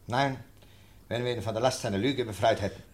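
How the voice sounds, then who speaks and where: A man's voice: mid-range voice, a little fast, slight background noise, close to an everyday voice. An actor playing a sceptical, officious male lieutenant in a radio drama, dismissive and sarcastic. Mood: argumentative, firm.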